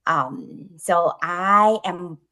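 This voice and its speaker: A woman from China with a Chinese accent, speaking English as an acquired language, talks slowly as an interview guest, with many pauses and fillers. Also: fairly low pitch, lively intonation, pushed and bright. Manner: slow and deliberate, many ums and pauses. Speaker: guest, children's book creator. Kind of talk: interview conversation.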